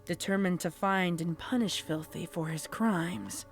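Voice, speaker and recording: A woman's voice: fairly low pitch, a little slow, faint music behind. A woman reading a scripted horror story as a dramatic narrator.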